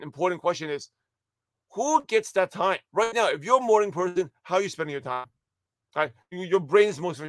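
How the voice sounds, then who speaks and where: Upbeat man, high voice, dry sound. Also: fairly bright tone, no echo. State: slightly raspy, tired voice. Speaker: livestream host and network marketing coach. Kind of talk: motivational live-stream pep talk. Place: home studio office with shelves.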